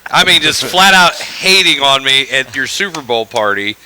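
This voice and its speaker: A man telling a story in an animated, boisterous way during casual podcast chat. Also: medium pitch, normal speed, a little hiss or hum, low-quality recording.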